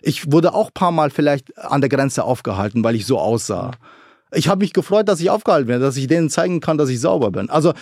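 Gravelly-voiced man telling his story. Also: mid-range voice, quick pace, no echo, clean studio sound. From Iraq. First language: Arabic. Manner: earnest, animated, frequent fillers. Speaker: guest. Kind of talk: conversational interview.

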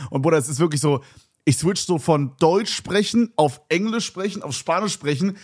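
A young man co-hosting a podcast, chatting in a rambling, excitable, slang-heavy way. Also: a little high, moderate pace. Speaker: podcast co-host. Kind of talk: casual podcast chat.

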